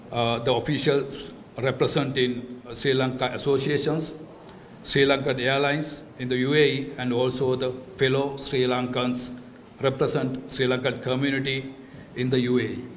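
A man's voice: medium pitch, slight background noise. A middle-aged man giving a formal welcome speech at a podium in an indoor pavilion. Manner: formal, evenly paced address. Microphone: podium microphone.

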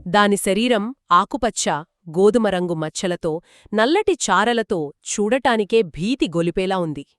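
A woman's voice: mid-range voice, animated, unhurried, dry recording, clean studio sound. A woman telling a children's story conversationally, engaged and dramatic as she speaks to young listeners.

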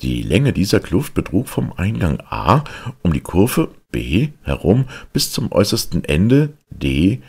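A man reading a literary story aloud as an audiobook narrator, with a gravelly, husky voice and measured, deliberate delivery. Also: rumbling low.

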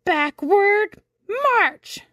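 A woman voicing a cross, bossy old horseshoe crab commander in a storybook, with a scratchy voice and drawn-out military commands. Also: high-pitched, animated, fairly bright tone, no echo, clean studio sound, pitched above the performer's own voice, heavily acted. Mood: barking orders. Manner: barking, slow drawn-out commands.

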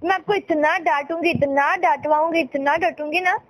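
A woman's voice: high-pitched, normal speed, rough recording. A young woman with an Indian accent chatting casually with a playful, dramatic, pouty teasing manner. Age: young adult. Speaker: girlfriend on a phone call. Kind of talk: casual playful couple chat.

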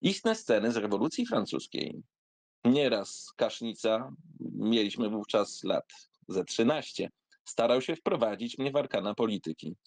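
A man giving a theatrical reading of a literary memoir, in an expressive, wry, conversational storytelling manner. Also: lowish voice, a little slow, creaky, no room sound. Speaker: narrator reading a literary essay aloud.

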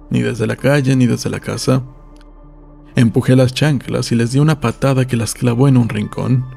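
Male narrator for literary audiobook, creaky mellow delivery, faint music underneath. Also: mid-range voice.

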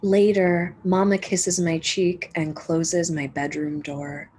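Female storybook reader, teacher type: husky, slow, gentle and expressive. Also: on the low side. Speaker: teacher reading a picture book aloud. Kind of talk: expressive children's storybook read-aloud.

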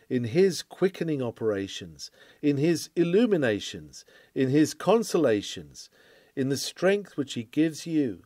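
A man reads a classic sermon in a fairly high, mellow voice. His delivery is formal and oratorical, full of earnest declamation. The recording is dry and close, with little room sound. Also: dry recording. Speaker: sermon reader.

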